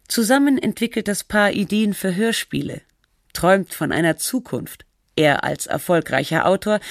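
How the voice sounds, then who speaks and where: An actress playing a sober documentary narrator, with measured, literary, analytical delivery of a scripted text. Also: female voice, fairly low pitch, dry recording, studio quality.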